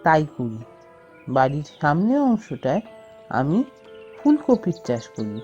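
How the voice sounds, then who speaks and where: Woman acting young village man, cartoon fairy tale, low-pitched, swinging intonation, hopeful, enterprising, deliberate self-talk. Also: very animated, warm tone, highs cut off. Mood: pleased, planning. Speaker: cartoon character voice. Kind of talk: animated fairy-tale dialogue, thinking aloud. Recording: music bed behind the voice.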